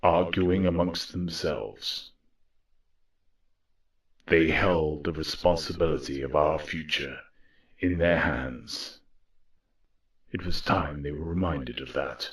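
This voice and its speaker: A man playing a weary, solemn robot war leader in a grave, deliberate audio drama monologue. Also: rumbling low, fairly even intonation, slightly rough, full character voice. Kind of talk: reflective dramatic monologue narration.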